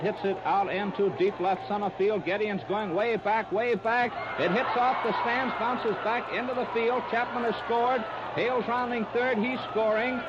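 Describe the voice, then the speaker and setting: An American man calling baseball play-by-play in clipped, terse phrases, in the style of 1930s live radio commentary. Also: high voice. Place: ballpark broadcast. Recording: noisy, muffled 1930s radio disc recording.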